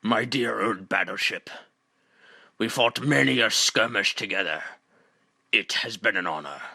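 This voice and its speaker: Creaky, pompous old director. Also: male voice, lowish voice, measured pace, bright, forceful tone, heavily acted. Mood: grandly resigned. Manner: theatrical, stammering, military bluster. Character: pompous, dramatic old male space-center director. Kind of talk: video game fandub acting. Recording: amateur home recording under game music.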